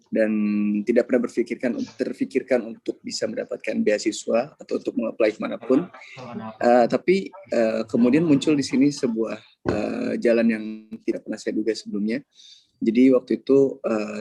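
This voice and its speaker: A young man from Indonesia with an Indonesian accent, telling his story hesitantly in a video call webinar and filling pauses with "eh". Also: fairly low pitch, vocal fry, dark tone, breathy edge, no echo. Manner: hesitant, many filler sounds, mixes in English. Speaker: scholarship awardee speaker. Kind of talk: webinar talk.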